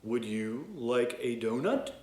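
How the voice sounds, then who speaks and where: A man voicing a prim, stubborn male panda, speaking slowly and emphatically. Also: mid-range voice, lively intonation, a little mellow. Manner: slow, emphatic. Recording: echoey room, faint ambience.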